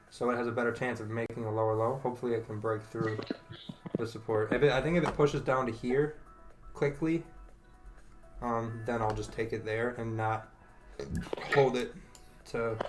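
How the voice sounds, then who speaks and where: A man hosting a live trading session on a video call, talking through his trades and cheering the chart on with excitement. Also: mid-range voice, normal speed, music in the background. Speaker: host, forex trader.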